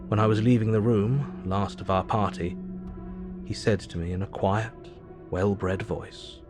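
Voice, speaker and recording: Guy playing a stern formal doctor narrating a gothic audio drama, voice a bit low, soft music behind. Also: fairly even intonation, unhurried, lightly acted. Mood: stern, clinical. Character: stern, measured male asylum doctor recounting his cases.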